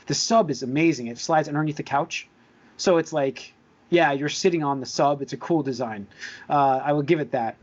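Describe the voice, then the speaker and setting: A man with a slightly high-pitched voice chats casually as a podcast host. He rambles and wanders between points. The audio is somewhat dull and lo-fi. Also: moderate pace.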